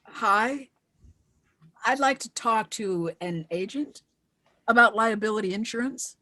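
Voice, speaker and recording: A woman playing a brisk widowed mother working as an investigator in a screenplay table read. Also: fairly high pitch, pushed and bright. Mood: timid, polite.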